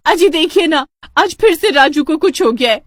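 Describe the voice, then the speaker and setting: Woman acting a nagging, high-pitched domineering village wife. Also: animated, normal speed, dry recording, clean studio sound. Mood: alarmed, distressed. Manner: nagging, threatening, insistent. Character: domineering, superstitious village headman's wife, desperate mother. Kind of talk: Hindi cartoon story dialogue.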